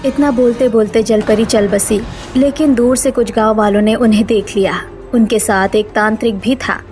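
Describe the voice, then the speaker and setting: A woman's voice: on the high side, steady tone, moderate pace, a little mellow, soft background music. A woman narrator reading a scripted cartoon story.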